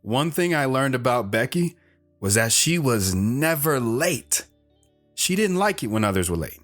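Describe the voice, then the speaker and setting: A man's voice: medium pitch, very animated, a little slow, fairly bright tone, faint music behind. A man narrating his own past as a scripted drama, telling it plainly while looking back.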